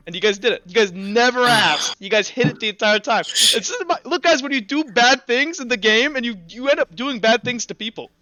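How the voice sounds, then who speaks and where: High-pitched, insistent man as game master. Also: expressive, a little fast, few pauses, pushed and bright, no room sound, rough recording. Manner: insistent, defensive. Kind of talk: casual game-table discussion.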